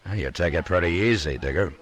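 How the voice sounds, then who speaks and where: An actor playing a stern, laconic Old West marshal in a measured, firm manner, in 1950s radio western style. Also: male voice, low-pitched, close to an everyday voice. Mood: stern warning.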